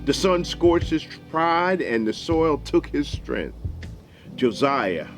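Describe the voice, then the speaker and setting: An American man hosting and telling a story in a deliberate, folksy, dramatic way. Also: fairly high pitch, very animated. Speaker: storyteller, show host. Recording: music behind the voice.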